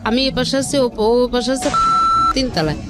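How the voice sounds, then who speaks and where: A woman's voice: a little high, expressive, moderate pace. A middle-aged woman with a Bangladeshi accent tells her personal story in an interview, earnest and repeating her phrases, often acting out quoted speech. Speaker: guest telling her life story. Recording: music under the voice. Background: background music.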